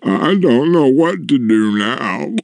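Slow, ponderous storybook giant. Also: male voice, medium pitch, expressive, vocal fry, darkish tone, no room sound, pitched above the performer's own voice. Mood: sorrowful, pleading. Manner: slow, drawn-out, ponderous delivery. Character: huge grimy male giant, menacing yet lonely and sorrowful. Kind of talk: storybook character voice.

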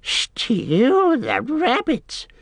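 Wretched cave creature, whining, hissing, gravelly, slow and drawn-out, childish, fretful, storybook villain. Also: male voice, extremely high pitch, huge pitch swings, dry recording, studio quality, voice pushed up, exaggerated voice. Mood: dismayed, pleading. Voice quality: creaky, hissing. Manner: whining, repeats words, speaks of himself by name.

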